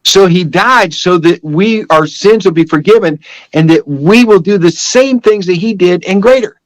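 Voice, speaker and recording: A man's voice: high-pitched, moderate pace, no room sound, low-quality recording. An older man giving a personal religious testimony as a guest, telling it in an emphatic, repetitive, conversational way.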